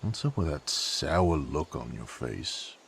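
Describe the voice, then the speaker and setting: A man playing a gruff, sardonic, proud knight in an audio roleplay, with a drawl, folksy slang and a husky, gravelly voice. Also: a bass voice, sing-song, slow pace, a bit bright, exaggerated voice. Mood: wry, teasing. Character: gruff sardonic rough-bred male knight, proud and hot-tempered. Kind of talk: character audio roleplay acting.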